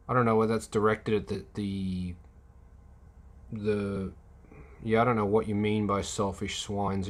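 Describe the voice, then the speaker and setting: A man's voice: on the low side, fairly bright tone. A man hosting a casual livestream, rambling and answering chat comments, with many fillers and restarts. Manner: reads and replies to chat comments.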